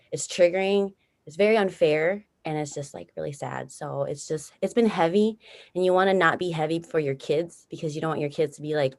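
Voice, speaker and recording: A woman sharing a painful personal experience in a halting way, her voice trailing off quietly at times. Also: sits low. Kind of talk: emotional personal testimony.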